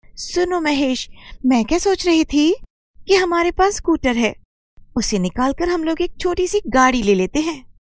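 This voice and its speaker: Woman doing a show-off newly rich cartoon wife, high voice, pushy and emphatic, dry sound. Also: animated, unhurried, no echo. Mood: insistent. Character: boastful, demanding newly rich housewife in cartoon.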